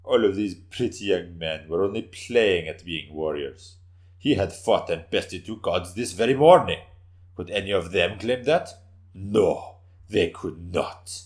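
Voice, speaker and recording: A man's voice: sits low, expressive, measured pace, darkish tone. A man giving an intense, theatrical reading of a scripted story as its narrator.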